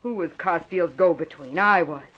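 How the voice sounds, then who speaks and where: A woman's voice: on the low side, fairly quick, natural voice. An actress plays a bold, ruthless woman gangster in a 1940s radio thriller. She is boastful and taunting, with a hard-boiled delivery. The sound is dull, like an old broadcast. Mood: boastful, scornful. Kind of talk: 1940s radio drama acting.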